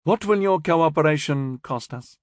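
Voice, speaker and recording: A man's voice: a little high, expressive, moderate pace, no echo, studio quality, pitched above the performer's own voice, close to an everyday voice. A man voicing a cool, blunt female security director who talks in a clipped, firm, matter-of-fact way.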